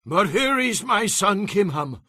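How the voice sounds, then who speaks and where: A very old man, played by an actor, pleads humbly in a scripture drama. His voice is high and bright, with sweeping emphasis and a weary, plaintive manner. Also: big pitch swings, a little slow, no room sound, clean studio sound, full character voice. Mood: weary, earnest.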